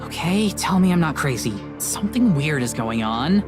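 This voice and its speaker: A woman voicing an excitable, blunt young woman ghost hunter who gets exasperated and suspicious, in a visual novel. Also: sits low, moderate pace, music in the background, pitched below the performer's own voice. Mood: agitated, indignant. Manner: exclamatory, blunt, casual.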